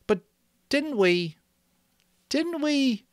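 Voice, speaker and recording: Man as a timid high-pitched young savage boy. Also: very animated, very slow, dry recording, studio quality, heavily acted. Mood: nervous. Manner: hesitant, questioning. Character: timid young savage boys questioning their chief.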